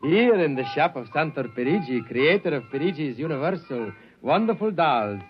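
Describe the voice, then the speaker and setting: A man's voice: a little high, sing-song, normal speed, music in the background. An actor playing a grandiose, mysterious male doll maker in a 1950s radio drama, formal and boastful, with odd foreign-sounding diction. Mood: mysterious welcome. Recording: muffled vintage radio recording.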